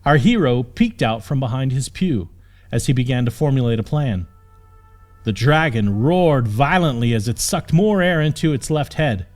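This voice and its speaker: A man narrates a fantasy audiobook in a scripted, dramatic storytelling style. His voice sits at a medium pitch. Music plays underneath the narration. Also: expressive, normal speed, a little mellow. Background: background music.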